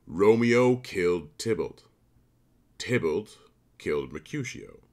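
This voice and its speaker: An actor playing a stern, authoritative ruling prince in a modernized Shakespeare audio drama. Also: male voice, deep voice, animated, takes it slowly, darkish tone.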